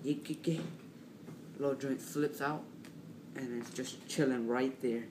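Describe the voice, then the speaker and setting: A man telling a casual, animated story in slang, repeating himself and acting out what people said. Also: mid-range voice, measured pace. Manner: slangy, repetitive, acts out quotes. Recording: roomy, distant mic, clearly noisy.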